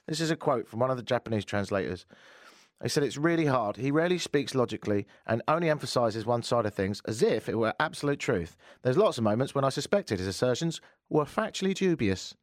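A man hosting a talk radio show, sardonic and animated, joking and ranting about the news. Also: medium pitch, fairly quick, no room sound, clean studio sound. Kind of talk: opinionated talk radio chat. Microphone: studio microphone.

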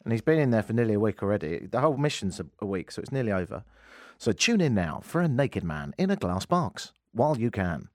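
A man's voice: fairly low pitch, big pitch swings, quick pace, no room sound. A man hosting a comedic radio show, rambling through sardonic asides into a studio mic. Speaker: radio host.

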